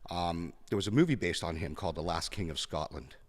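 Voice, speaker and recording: Very deep-voiced Canadian man, reflective. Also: brisk, long reverb tail. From Canada, born in Kenya. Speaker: podcast guest. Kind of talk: personal storytelling in an interview.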